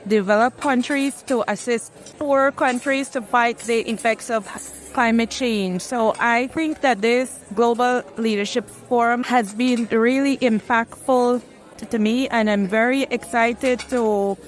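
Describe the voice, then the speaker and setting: A woman's voice: a little high, fairly even intonation, unhurried. A woman from Ghana, a human resources practitioner, giving an earnest, fluent soundbite as an advocate. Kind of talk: recorded soundbite.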